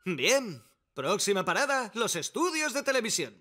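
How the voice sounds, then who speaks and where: A man's voice: sits high, sing-song, normal speed. A man voicing a vain, fretful young firefighter in a cartoon dub, theatrical. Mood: self-satisfied. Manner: theatrical, self-absorbed. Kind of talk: animated children's cartoon dub. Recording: music behind the voice.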